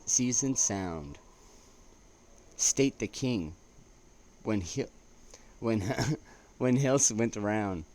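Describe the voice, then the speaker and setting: Male amateur poetry reader, fairly low register, stumbling recitation, slightly noisy home-grade audio. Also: a little mellow. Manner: halting, stumbles over lines and restarts. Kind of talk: poetry recitation with casual asides. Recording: noticeable background noise.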